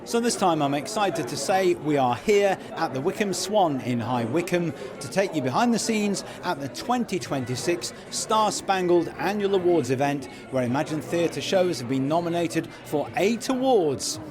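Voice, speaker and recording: A man's voice: fairly high pitch, expressive, moderate pace, few pauses, crowd noise. Upbeat man hosting a podcast. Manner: upbeat, chatty presenter. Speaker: podcast host. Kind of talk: on-location interview.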